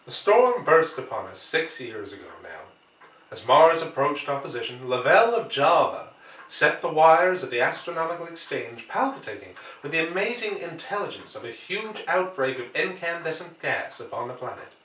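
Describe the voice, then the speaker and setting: A man reading a classic novel aloud as an audiobook narrator, with deliberate phrasing and dramatic emphasis. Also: a little high, very animated, a bit bright. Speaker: audiobook reader. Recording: roomy, echoey, distant from mic.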